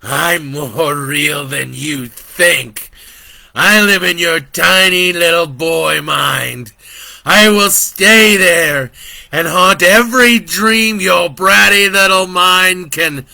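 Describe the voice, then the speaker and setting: A man voicing a taunting male devil in a storybook reading, drawn-out and sinister. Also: sits high, vocal fry, bright, forceful tone, no echo, pitched above the performer's own voice, full character voice. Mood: menacing.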